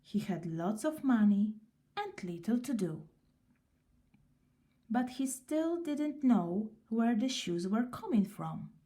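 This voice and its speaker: A woman reading a children's story aloud in an unhurried, expressive way. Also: fairly high pitch.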